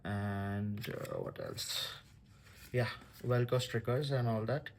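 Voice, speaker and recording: A man giving casual, halting commentary as a vlogger unboxing a product. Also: fairly low pitch, wildly swooping pitch, creaky, a little hiss or hum.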